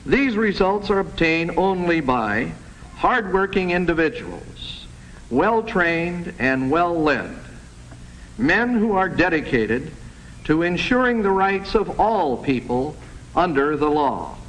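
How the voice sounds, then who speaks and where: A man giving a formal prepared speech as a chief of police, earnest and measured in his delivery. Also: on the high side, big pitch swings, a bit bright. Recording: noisy 1960s film soundtrack.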